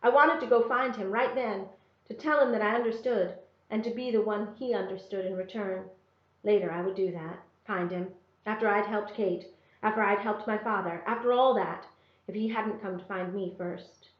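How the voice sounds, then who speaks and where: A woman reading a first-person story aloud as narrator, in a measured, expressive storybook manner. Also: mid-range voice. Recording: roomy, slightly dull sound.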